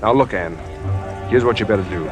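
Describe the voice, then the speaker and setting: A man's voice: lowish voice, a little fast, over loud music, lightly acted. An actor in a 1950s radio drama playing an urgent, decisive young sportsman under strain. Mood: tense, determined. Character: tense, resolute young tennis player, wrongly suspected. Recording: old radio broadcast over crowd hubbub.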